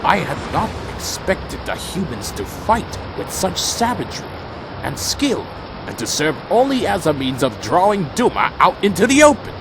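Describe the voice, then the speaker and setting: A man's voice: sits high, wildly swooping pitch, moderate pace, noisy background, heavily acted. A man playing a proud, brooding vampire warrior in a fan audio drama, speaking and narrating in a solemn, formal, dramatic way. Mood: dramatic, grim. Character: proud male vampire warrior, loyal to his clan, brooding. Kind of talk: audio drama dialogue and first-person narration.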